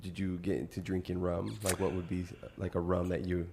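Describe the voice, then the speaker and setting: Very deep-voiced casual podcast host. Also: male voice, completely flat delivery, a little fast, vocal fry, noisy background. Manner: enthusiastic, casual and profane. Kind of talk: casual interview chat.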